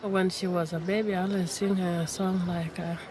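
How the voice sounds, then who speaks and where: A woman, speaking halting, broken English as a worried mother in an emotional interview. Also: lowish voice, level tone, crowd noise. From South Sudan.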